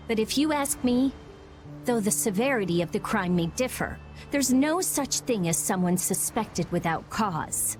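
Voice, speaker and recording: A woman playing a sharp-tongued, hot-tempered, brainy teenage girl mage in a video game, blunt and testy. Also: medium pitch, close to an everyday voice.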